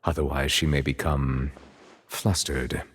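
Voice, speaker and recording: An actor playing a dignified, courteous, aloof chief justice in a video game, speaking in a formal, measured way. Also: male voice, extremely low pitch, fairly even intonation, husky, long reverb tail, exaggerated voice. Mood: reserved, dry.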